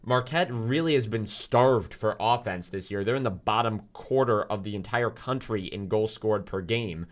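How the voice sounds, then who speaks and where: Loud, energetic young man, low voice. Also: normal speed, hardly any pauses. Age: young adult. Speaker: student sports reporter, podcast guest. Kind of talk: casual podcast chat.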